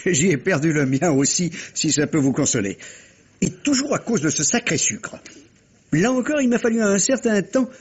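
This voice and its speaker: An actor playing a wry police inspector in a vintage French radio mystery, measured and theatrical, explaining a case with teasing rhetorical questions. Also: male voice, sits high, expressive, husky. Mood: teasing, probing. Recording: dull, muffled vintage radio recording.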